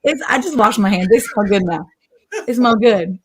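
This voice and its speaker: A middle-aged woman with an American accent, chatting casually and telling animated stories with acted-out quotes. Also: medium pitch, moderate pace. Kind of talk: casual chat, anecdotes.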